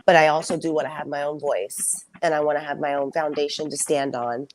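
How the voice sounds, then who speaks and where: A young woman singer telling her story in a casual interview, soft-spoken and reflective, with frequent fillers. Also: deep voice, fairly even intonation, fairly quick, slight background noise, poor audio. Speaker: singer and songwriter guest.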